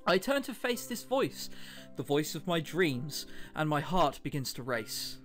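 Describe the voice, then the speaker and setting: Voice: mid-range voice, very animated, measured pace. A let's-player of unclear sex giving casual commentary and reading a visual novel's text aloud, with wry asides. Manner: self-interrupting, wry joking asides. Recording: music behind the voice. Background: game music.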